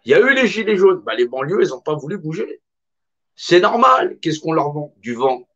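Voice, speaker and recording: A man's voice: fairly high pitch, big pitch swings, moderate pace, a bit bright. A middle-aged man speaks in an impassioned monologue with a slightly raised voice. He is emphatic and colloquial and hammers on repeated phrases. The recording sounds dull and lo-fi.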